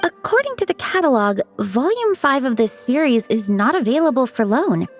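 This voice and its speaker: A prim female librarian in an anime game. Her rather high voice moves in lively swings as she speaks, officious and firm. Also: moderate pace, soft background music.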